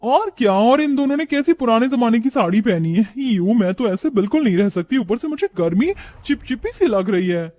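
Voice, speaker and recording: A man voicing a haughty young modern city daughter-in-law, sassy and defiant, mixing in English words, in an animated story. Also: very high voice, brisk, hardly any pauses. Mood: indignant.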